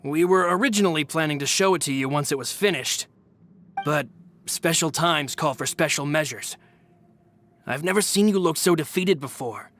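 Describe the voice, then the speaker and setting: A man acting a loyal, reassuring aide in a video game, with a measured, polite delivery. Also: fairly high pitch, a bit bright, lightly acted. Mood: earnest, formal.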